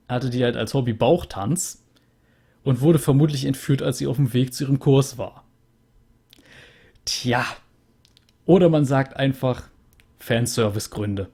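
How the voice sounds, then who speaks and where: A man's voice: medium pitch, warm tone. Young guy chatting loosely about a game he just finished, lots of ums, home usb mic. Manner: chatty and rambling, with many fillers. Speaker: let's play host. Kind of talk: casual game wrap-up commentary. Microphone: USB studio microphone.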